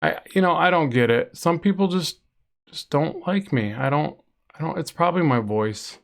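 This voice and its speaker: A man's voice: on the high side, animated, normal speed. A man with a nasal voice chatting casually with viewers while drawing on a live stream, rambling and reading chat aloud. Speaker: comic artist streaming a drawing session.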